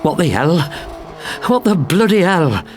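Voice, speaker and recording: A man voicing a panicking young male hoodlum in an audio story, jabbering and shouting hysterically over music. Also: a little high, sing-song, a little slow, slight background noise. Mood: shocked.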